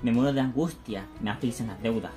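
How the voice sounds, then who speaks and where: A woman's voice: very deep voice, brisk, mellow, soft background music. A young Chilean woman acting an anguished, darkly questioning youth in a radio theatre piece. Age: young adult. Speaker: student performer.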